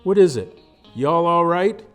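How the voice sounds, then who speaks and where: A man voicing a gruff, exasperated frontier husband with folksy rural speech in a storybook reading. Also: on the high side, lively intonation, unhurried, faint music behind, pitched above the performer's own voice. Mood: groggy, irritated.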